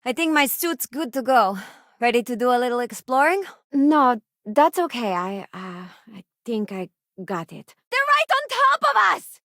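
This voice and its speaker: A woman's voice: fairly high pitch, fairly bright tone, no room sound, clean studio sound, full character voice. An actress playing a principled, idealistic female crew companion in a space video game, speaking sincerely and conversationally. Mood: helpful, ready, then urgent combat alarm. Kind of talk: acted game companion dialogue lines.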